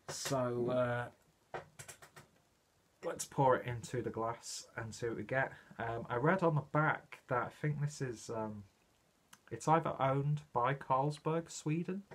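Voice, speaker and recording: A man's voice: mid-range voice, a little hiss or hum. A British man giving a casual, rambling video review, chatty and self-deprecating as he goes. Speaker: beer reviewer presenting own video channel.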